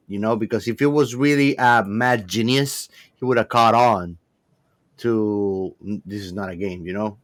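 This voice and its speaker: A man's voice: fairly low pitch, fairly bright tone, no echo. Opinionated man talking film, very dry sound. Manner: halting, wordy, opinionated, swears. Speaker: podcast co-host discussing a film. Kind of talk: casual film discussion.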